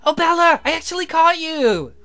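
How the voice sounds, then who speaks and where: Guy putting on a sweet coy girlfriend voice for a game, high and bright, eager, bit hesitant. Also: expressive, moderate pace, faint music behind, full character voice. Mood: sweet, apologetic. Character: sweet, eager, coy young woman on a date call. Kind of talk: visual novel character voice.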